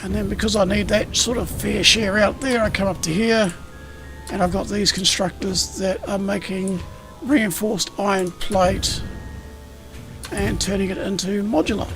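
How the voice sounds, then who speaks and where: A man streaming a factory-building game, explaining it in a rambling way with a slightly croaky voice. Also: high-pitched, fairly even intonation, a little slow. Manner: rambling, self-correcting, explanatory. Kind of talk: casual gameplay explanation. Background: game music.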